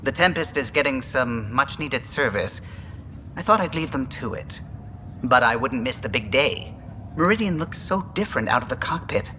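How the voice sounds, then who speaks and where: An actor playing a polite, earnest male alien starship pilot in a video game, courteous and gentle in manner. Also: medium pitch, lively intonation, normal speed. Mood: warm, thoughtful. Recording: long reverb, faint ambient noise.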